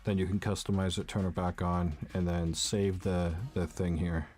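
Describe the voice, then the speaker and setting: A young man with a naturally low voice, casually walking viewers through a tech setup step by step, often saying "uh" and "basically". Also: flat delivery, normal speed, a little mellow, soft background music. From Canada. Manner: casual, rambling, frequent "uh" and "basically". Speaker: tech tutorial youtuber. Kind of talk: casual screen-recorded tutorial. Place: home room with a bar fridge.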